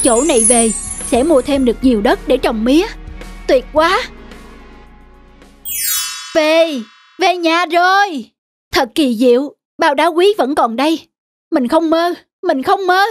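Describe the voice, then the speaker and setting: A simple, honest cartoon farmer with a very high voice. The delivery is excitable and exclamatory, quick to indignation. Music plays underneath. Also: female voice. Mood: amazed. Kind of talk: animated folk-tale cartoon dubbing.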